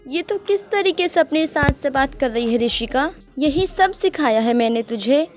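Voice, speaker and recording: Woman playing exasperated middle-aged mother, cartoon story, high voice, reproachful, admonishing, music underneath. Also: expressive, normal speed. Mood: scolding.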